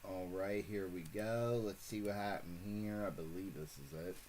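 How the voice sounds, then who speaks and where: Low-voiced man calling races live. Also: moderate pace, a little hiss or hum. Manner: rambling, self-correcting, excited at finishes. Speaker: host calling horse races for a fantasy contest. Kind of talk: casual live-stream race commentary.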